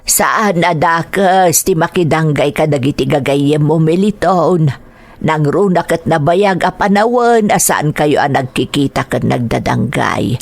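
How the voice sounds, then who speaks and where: A woman's voice: low voice, animated, a little slow, vocal fry, dry recording, pitched below the performer's own voice, exaggerated voice. A woman voicing a nagging older mother who lectures her grown son reproachfully in an audio drama.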